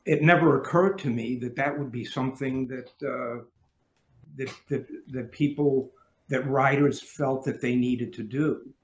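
Older male panelist, hesitant and reflective, mid pitch, echoey home room. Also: fairly even intonation. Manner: halting, many restarts. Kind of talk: video call panel discussion. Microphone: video call.

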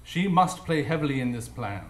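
An actor playing a brisk, analytical gentleman detective, formal and declamatory, in a live radio drama. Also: mid-range voice, expressive, lightly acted. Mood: emphatic, confident. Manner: declamatory, formal, self-assured. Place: live stage before an audience. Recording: echoey live stage recording.

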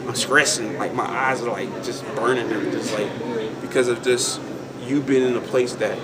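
A man in his twenties talking casually about faith in a halting, thoughtful way, often repeating phrases. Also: mid-range voice, fairly even intonation, very noisy. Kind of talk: casual group discussion of faith. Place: large open indoor lounge. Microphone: camera mic a few feet away. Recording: distant mic amid loud chatter.

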